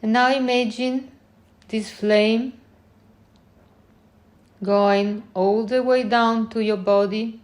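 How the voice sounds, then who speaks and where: A woman leading a guided meditation in gentle, unhurried short phrases with long pauses between them. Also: fairly high pitch, fairly even intonation.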